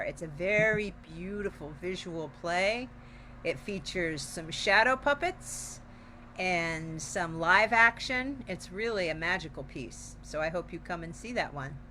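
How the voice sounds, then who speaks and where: A woman's voice: on the low side, sharp tone, a little hiss or hum. A woman co-hosting a casual online theater talk-back in a welcoming, emphatic way. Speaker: theater company representative, co-host. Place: online video call.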